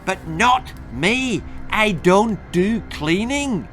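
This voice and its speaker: A man's voice: high-pitched, expressive, drawn-out words, a bit bright, soft background music, pitched above the performer's own voice, full character voice. A man voicing a headstrong, untidy young girl in a children's story, speaking emphatically and full of protest. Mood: indignant.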